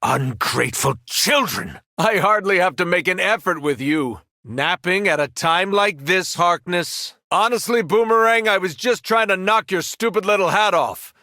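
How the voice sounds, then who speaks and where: A man voicing a powerful superhero in a video game, speaking in an earnest, deliberate manner. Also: high-pitched, lively intonation, bright, forceful tone, dry recording, studio quality, exaggerated voice. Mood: cold menace, then taunting, scornful. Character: powerful male superhero, once kindly, now menacing. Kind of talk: acted video game voice lines.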